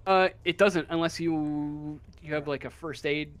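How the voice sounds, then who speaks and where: Slow, halting male game master. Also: on the high side, fairly even intonation. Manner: halting, searching for words, casual. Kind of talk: improvised narration of a tabletop game.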